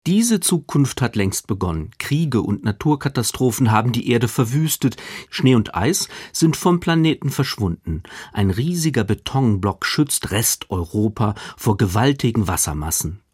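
A man's voice: medium pitch, very animated, moderate pace, darkish tone, husky, dry recording. A man reading a scripted radio book review as a literary critic, articulate and wry.

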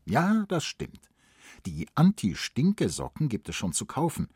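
A man's voice: mid-range voice, huge pitch swings, measured pace, a little mellow, husky, no echo, clean studio sound. A man reading scripted explanatory quiz narration for children. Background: music.

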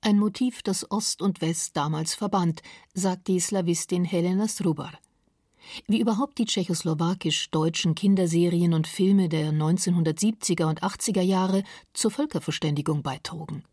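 A woman reading scripted narration for a radio documentary, measured and softly spoken, over a music bed. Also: lowish voice, slightly rough, dry recording, clean studio sound.

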